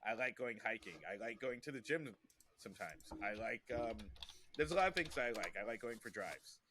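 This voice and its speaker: A man's voice: mid-range voice, very animated, brisk, background noise. A man chatting casually as a podcast host, rambling and repeating himself to get his point out. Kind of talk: casual chat.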